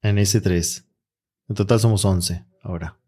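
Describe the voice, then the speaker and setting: Argentine male coach, interview guest; very deep, mellow voice, easygoing delivery with fillers. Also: measured pace, slightly rough. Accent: Argentine Spanish. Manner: relaxed, reflective, with frequent fillers. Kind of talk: interview answers.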